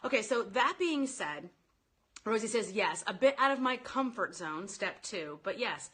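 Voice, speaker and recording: A woman giving informal sales coaching on a live stream, talking directly to her viewers in an animated, chatty way. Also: mid-range voice, a little slow, husky. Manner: animated, informal, addresses listeners directly, quotes sample phrases. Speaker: sales coach hosting a live online training.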